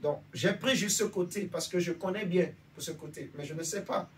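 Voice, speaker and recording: Man, from Benin, preacher, informal sermon, checks in with listeners, slightly high voice, distant mic. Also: brisk. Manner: conversational, asks listeners for agreement. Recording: distant mic with faint background noise.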